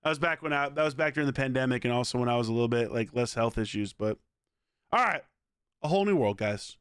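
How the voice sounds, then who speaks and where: A man with a hoarse voice, chatting casually and energetically on a game livestream and pleading with viewers. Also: mid-range voice, quick pace, fairly bright tone, no echo, studio quality. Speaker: game streamer.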